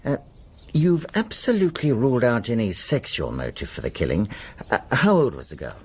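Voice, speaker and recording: An actor playing an affable older British country police superintendent in a radio drama, musing aloud in a conversational way. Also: male voice, mid-range voice, very animated, normal speed, darkish tone, slightly rough.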